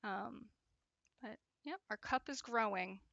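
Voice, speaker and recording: Young woman craft streamer with a bright, gravelly voice, chatty and laughing often, in a dry, close sound. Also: a little high, slow, no echo, dull audio. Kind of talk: casual chat while crocheting and reading chat.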